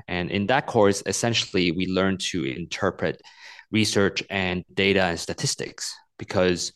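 A man in his thirties from Hong Kong speaks as an author being interviewed. His voice sits on the low side, and he explains things earnestly and patiently, often doubling words as he works out a thought. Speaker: author and guest.

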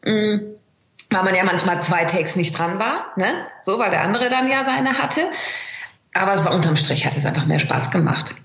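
A middle-aged woman with a slightly low voice speaks in an echoey room. She talks colloquially and with animation, like a guest chatting in an interview. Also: expressive, normal speed, a bit bright. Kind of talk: conversational interview, anecdotes and advice. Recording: roomy, echoing sound.

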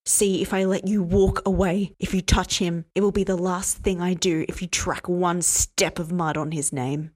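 A woman reading a story aloud, voicing a furious, cornered young man in a low, blunt, hard-edged voice. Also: fairly even intonation, moderate pace, few pauses, darkish tone, lightly acted. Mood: menacing.

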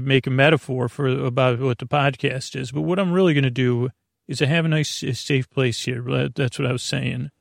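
A man hosting a bedtime podcast, rambling through goofy tangents and self-interruptions in a soft, creaky voice. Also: mid-range voice, dry recording, clean studio sound. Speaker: podcast host.

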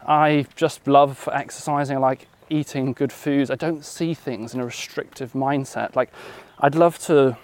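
A man's voice: mid-range voice, steady tone, unhurried, darkish tone. A man, hosting a casual walking interview outdoors in a park, reflective and fond of tag questions. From West Wales. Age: 30s. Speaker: podcast host.

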